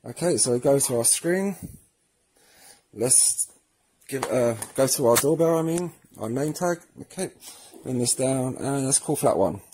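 Male tutorial presenter, mid-pitched with a husky edge, informal and friendly, walking viewers through steps. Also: lively intonation, unhurried, warm tone. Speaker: presenter of a product tutorial series. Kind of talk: casual spoken how-to demonstration.

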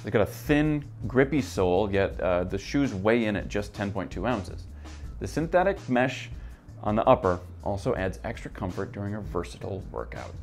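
Host, man, 30s: brisk, low-voiced news read from a desk, faint music behind. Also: very animated. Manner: brisk, upbeat presenter reading from notes. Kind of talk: scripted product news roundup. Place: office with shelves, seated at a desk.

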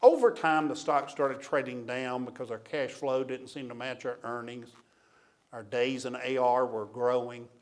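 Older male speaker, mid-pitched; plainspoken, confessional storytelling from a podium. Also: fairly even intonation, unhurried. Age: 60s or older. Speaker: keynote speaker. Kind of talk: storytelling public lecture. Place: auditorium stage at a lectern. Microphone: podium gooseneck microphone.

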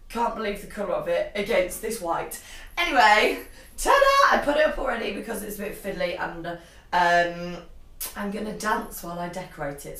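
A young woman vlogging while she dances, her voice croaky and her talk bubbly and exclamatory, slightly out of breath from moving. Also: medium pitch, a bit bright. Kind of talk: chatty vlog while dancing. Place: cold glass conservatory at home. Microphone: far camera. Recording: echoey room from far off, music behind.

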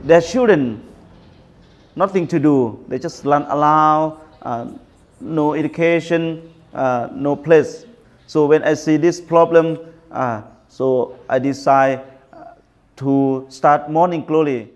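A man's voice: fairly high pitch, slow. A man, a school founder giving a documentary interview indoors. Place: indoors, small office.

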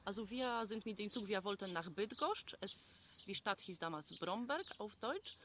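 A woman's voice: mid-range voice, expressive, normal speed, background noise. A woman working as an interpreter in an interview, rendering answers plainly and steadily with small fillers. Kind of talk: consecutive interpreting.

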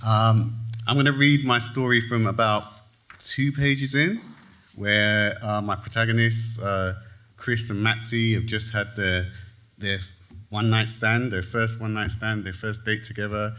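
A man reads his own fiction aloud at a lectern on a theatre stage, his fairly low voice measured and sinking at the close of each phrase. It is a live literary reading before an audience. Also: steady tone, sharp tone. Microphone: lectern mic, far camera.

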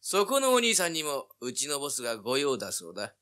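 An actor playing a menacing yakuza enforcer in an audio drama, smug and mock-polite. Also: male voice, mid-range voice, wildly swooping pitch, fairly quick, pushed and bright.

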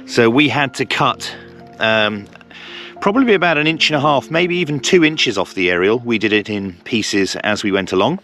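A man's voice: mid-range voice, animated, moderate pace, sharp tone, faint music behind. A middle-aged man presenting a casual hobby tutorial, explaining step by step in a hesitant way.

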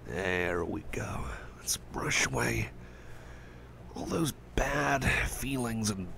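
Soft-spoken stammering man, gravelly and low. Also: a little hiss or hum. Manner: stammering, rambling, gentle and reassuring. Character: caring friendly male cyborg buddy. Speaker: ASMR roleplay performer. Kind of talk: soft-spoken ASMR roleplay.

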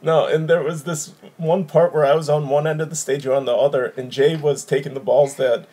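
A man's voice: fairly high pitch, little pitch movement, a little fast, mellow, husky. A man in his thirties from Wisconsin, hosting a casual chat from his living room over a video call, rambling and chuckling. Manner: laid-back, chuckling, rambling. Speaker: podcast host.

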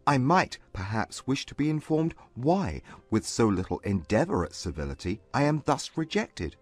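Casting: male actor as haughty period gentleman, ardent and formal, husky, swinging intonation. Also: mid-range voice, very animated, normal speed, dry recording, faint music behind. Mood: wounded, restrained. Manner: formal, intense period diction. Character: proud, agitated gentleman suitor, ardent and haughty. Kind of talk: dramatized audiobook acting.

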